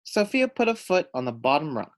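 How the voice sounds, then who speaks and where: A man reading a children's picture book aloud with expressive, teacherly delivery and deliberate phrasing. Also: a little high. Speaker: read-aloud storyteller.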